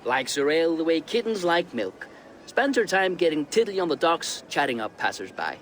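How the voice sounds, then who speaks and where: Animated, rueful young Viking. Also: male voice, a little high, normal speed. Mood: chatty, wry. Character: rueful, chatty young Viking man, eager underling. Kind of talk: video game dialogue acting.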